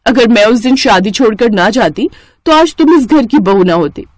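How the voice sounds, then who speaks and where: A woman acts out a brazen young elder sister from a cartoon morality tale. Her voice is high and taunting, with a haughty, entitled air. The sound is dry. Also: animated, moderate pace, a little mellow, no echo, close to an everyday voice. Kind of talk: cartoon moral story acting.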